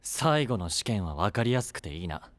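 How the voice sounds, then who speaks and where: An actor playing a brash, hot-tempered young knight with a rough, blunt manner in a fantasy audio drama. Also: male voice, fairly low pitch, big pitch swings, moderate pace, no echo. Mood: musing, alert.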